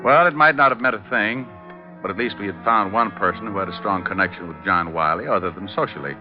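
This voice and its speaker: An actor playing a cocky, glib private detective who teases and narrates wryly, in 1940s radio drama style. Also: male voice, low voice, quick pace, natural voice. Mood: dry narration. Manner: glib, wry, teasing. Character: wisecracking cocky male private detective, breezy and flirtatious. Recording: muffled old radio drama, music behind.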